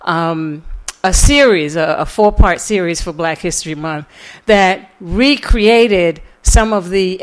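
A middle-aged American woman from New York City giving an informal lecture, telling her own story in a conversational way. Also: fairly low pitch. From New York City, USA. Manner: conversational, animated retelling with quoted dialogue and chuckles. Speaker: guest lecturer and author.

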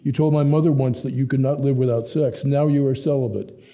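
A man's voice: medium pitch, fairly even intonation, a little fast, dull audio, natural voice. A man voicing an earnest young German man with a German accent who speaks stiff, formal English and argues with passion.

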